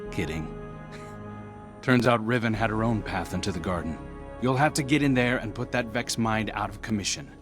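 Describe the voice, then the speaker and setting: A man's voice: lowish voice, moderate pace, natural voice. An actor playing a loyal young male ally in a sci-fi video game, guiding a warrior through missions in an earnest, wry, conversational way. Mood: wry, confident. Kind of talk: video game mission dialogue over comms. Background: game music.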